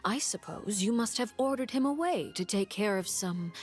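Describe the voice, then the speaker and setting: A woman's voice: mid-range voice, expressive, darkish tone, lightly acted. A woman acting a coolly menacing, mock-courteous young diplomat. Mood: sly, probing. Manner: deliberate, mock-courteous, pointed questioning. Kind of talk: dramatic video game voice acting. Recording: faint background music.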